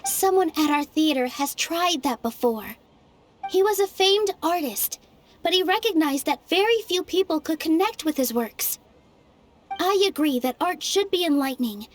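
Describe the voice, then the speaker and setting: A woman voicing an earnest young female dancer who argues passionately for her theater. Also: very high voice. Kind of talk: game voice acting.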